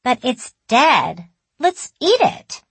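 A blunt, earnest little girl, acted by a woman reading a story, pitched high. She speaks innocently and matter-of-factly, puzzled by everyone else. The sound is close and dry. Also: sing-song, slow pace, gravelly, breathy edge, dry recording, heavily acted. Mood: eager, innocent. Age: child.

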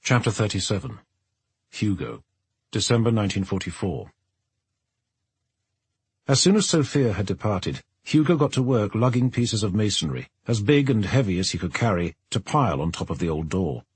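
Very raspy, animated male storyteller. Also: lowish voice, normal speed, dry recording. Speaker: audiobook narrator. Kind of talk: scripted third-person novel narration.

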